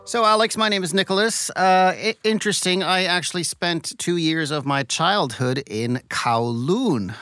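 A man's voice: high voice, sharp tone. Guy hosting a podcast, english not his first language, thoughtful careful questions, faint music under it. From Belgium. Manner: measured, thoughtful questioning with restarts. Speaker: podcast host. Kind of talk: scripted intro then interview.

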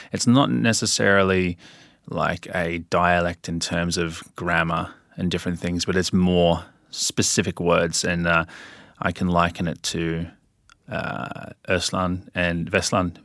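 A man from Australia with an accent between Australian and British, talking in a relaxed, rambling way as a podcast guest. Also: low voice, unhurried, dry recording, clean studio sound. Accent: Australian with British influence. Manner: relaxed, rambling with fillers and restarts. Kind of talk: casual interview.

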